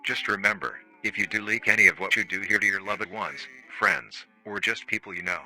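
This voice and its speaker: A man playing a formal, matter-of-fact research-facility narrator on an analog horror staff training tape, calmly chilling and euphemistic. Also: fairly low pitch, a little fast, faint music behind, exaggerated voice, low-quality recording. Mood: politely menacing.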